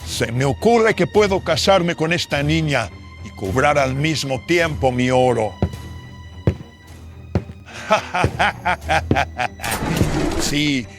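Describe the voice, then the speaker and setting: A man's voice: a little high, lively intonation, extremely slow, pauses often. A man playing a greedy, lecherous moneylender villain in a horror radio drama, sly, unctuous and calculating. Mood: gloating. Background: music.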